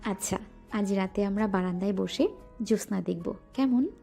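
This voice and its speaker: A woman playing a playful, affectionate young wife in a romantic audio drama, teasing and pouting, with soft music underneath. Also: mid-range voice, moderate pace, vocal fry, natural voice.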